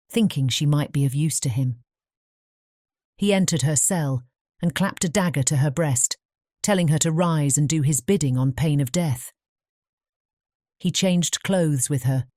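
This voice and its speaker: A woman's voice: sits low, warm tone, no echo, studio quality. A woman reading scripted storybook narration as an audiobook narrator.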